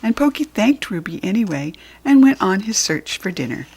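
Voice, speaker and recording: Animated American woman reading a storybook. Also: mid-range voice, vocal fry, breathy edge. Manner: animated, deliberate storytelling emphasis. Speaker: storybook reader for children. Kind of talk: expressive scripted children's story narration.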